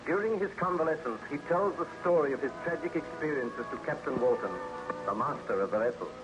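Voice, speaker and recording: A man announces and narrates a vintage radio serial. His voice is fairly high, formal and measured. Music plays clearly behind him on an old recording. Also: animated, rough recording. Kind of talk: 1930s radio scripted narration.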